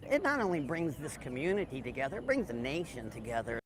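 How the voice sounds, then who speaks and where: A man's voice: mid-range voice, big pitch swings, fast talker. An older man speaking casually and from the heart as an interviewee. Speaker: interviewee, retired carpenter. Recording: clear outdoor noise, vehicles.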